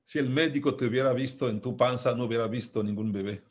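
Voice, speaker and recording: A man from Congo with a Congolese accent, giving a reflective philosophical talk as an invited speaker, deliberate and emphatic, repeating key phrases. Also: mid-range voice.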